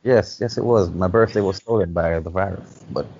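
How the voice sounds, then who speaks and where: A man in his thirties speaks in a relaxed, wry way. His voice is very deep. The recording is dull, with a faint hiss under it. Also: normal speed. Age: 30s. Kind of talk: casual chat.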